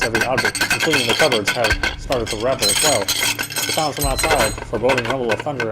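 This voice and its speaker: A man reading a horror game's story aloud as dramatic narration, sometimes stumbling and rereading phrases. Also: mid-range voice. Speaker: game player reading story text aloud. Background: game music.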